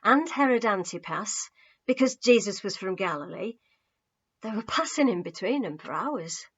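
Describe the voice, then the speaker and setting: A woman's voice: medium pitch, animated, normal speed, a bit bright, breathy edge, pitched above the performer's own voice. A woman voicing an earnest, shamefaced young man giving bad news plainly and with regret, on a muffled recording. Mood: explaining.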